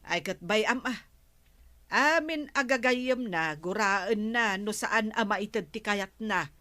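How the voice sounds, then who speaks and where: A knowing village gossip with an Ilocano accent. She drawls slowly in a bright, sharp voice, grumbling and exclaiming in radio comedy style. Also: medium pitch. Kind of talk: broadcast comedy radio drama acting.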